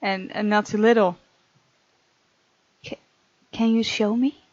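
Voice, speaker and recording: A woman's voice: medium pitch, steady tone, slow, darkish tone, no room sound, highs cut off. A woman hosting a gaming let's play, reading aloud and adding chatty, casual asides. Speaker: gaming video host.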